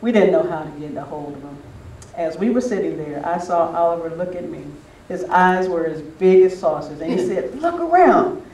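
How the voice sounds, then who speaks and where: A man's voice: fairly high pitch, big pitch swings, moderate pace, few pauses, darkish tone. An older man giving an informal, anecdotal talk to a gathering, reminiscing in a conversational way. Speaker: speaker at a spiritual retreat. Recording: roomy, distant mic with faint noise.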